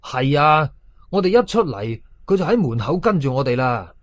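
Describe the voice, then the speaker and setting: A man's voice: on the high side, very animated, normal speed, dry recording. Man playing unruffled, blunt ghost hunter. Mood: calm, amused. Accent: Hong Kong Cantonese. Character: calm, blunt young male ghost hunter.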